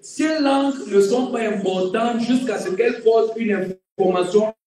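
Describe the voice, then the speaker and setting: A man preaching as a pastor, repeating key phrases for emphasis and swinging between quiet and loud delivery. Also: sits high, moderate pace. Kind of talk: sermon. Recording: very echoey room, distant mic.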